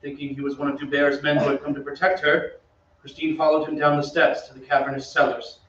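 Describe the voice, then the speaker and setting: An actor playing a solemn narrator, telling a gothic story in an old-time radio drama read on stage. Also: male voice, fairly high pitch, monotone, fairly quick, fairly bright tone, lightly acted. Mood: solemn, suspenseful. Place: theatre stage, away from the mic. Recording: echoey stage, distant, dull, faint noise.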